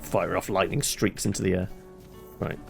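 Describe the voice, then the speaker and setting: A man's voice: sits low, fairly even intonation, normal speed, creaky, music in the background. A man giving wry, rambling casual commentary as he plays a game, thinking aloud. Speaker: game streamer.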